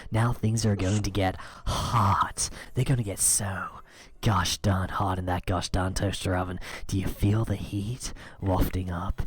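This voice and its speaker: A low-voiced, cheeky skeleton played by a man hosts a mock ASMR cooking show. He stretches his words suggestively and slips into breathy whispers. The delivery is playful and full of innuendo. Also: level tone. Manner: breathy innuendo, slow drawn-out emphasis, drops into whispers.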